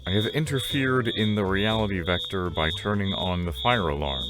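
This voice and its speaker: Man acting a very deep solemn machine overseer. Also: lightly acted. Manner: formal, measured, detached. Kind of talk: audio drama acting. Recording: loud music behind the voice.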